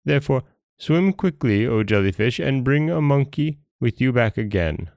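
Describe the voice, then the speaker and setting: A man's voice: mid-range voice, animated, normal speed, vocal fry, a little mellow, dry recording, lo-fi sound, voice pushed up. A man voicing a commanding, hot-tempered dragon king in a storybook tale, with an imperious manner. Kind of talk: storybook character voice.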